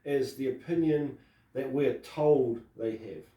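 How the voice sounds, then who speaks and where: A man from New Zealand talking in a casual political chat as co-host, halting, with many fillers and restarts. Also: medium pitch, warm tone, echoey room, far from the mic, rough recording. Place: Christchurch.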